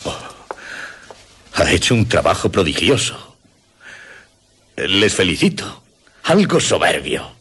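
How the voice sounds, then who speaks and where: Deep, swooping, kindly older gentleman. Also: very animated, slow, long pauses, slight background noise, exaggerated voice. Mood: admiring. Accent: Castilian Spanish. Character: kindly, dignified older gentleman, a doting father. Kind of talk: Spanish radio drama acting.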